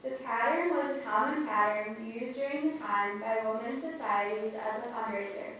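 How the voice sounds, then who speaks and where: A woman's voice: a little high, normal speed, a bit bright, a little hiss or hum. Woman reading in a reverberant church. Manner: informative, explanatory. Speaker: reader. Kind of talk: reader's theater narration. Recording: echoey church from far off.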